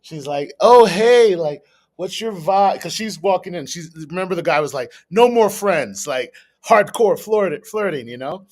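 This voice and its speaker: A man's voice: high voice, lively intonation, unhurried, darkish tone. A man hosting a livestream, giving casual, slangy reaction commentary, talking to chat and mimicking people. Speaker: livestream host.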